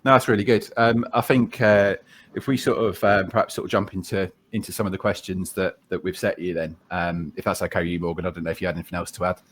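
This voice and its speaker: A man's voice: sits low, fairly even intonation, quick pace, a little hiss or hum. A man hosting a podcast conversationally, asking polite, rambling questions. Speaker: podcast host.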